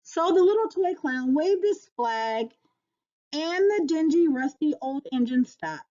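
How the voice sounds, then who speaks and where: A woman teacher reading a children's storybook aloud expressively, pausing to address her young listeners. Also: high-pitched, expressive, dark tone, lo-fi sound.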